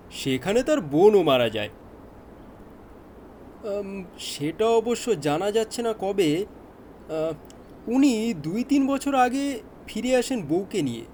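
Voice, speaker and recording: A man's voice: high voice, a little mellow, slight background noise. An actor playing a diligent, deferential police inspector, explaining his findings with respectful hesitation in an audio crime drama. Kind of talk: Bengali audio detective drama acting.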